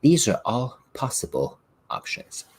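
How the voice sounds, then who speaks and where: A man's voice: mid-range voice, very animated, unhurried, a little mellow, husky. A middle-aged man whose first language is French, hosting his own podcast and explaining ideas with classroom examples. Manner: reflective, explains with examples, occasional restarts. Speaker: podcast host and science teacher. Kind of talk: solo podcast talk.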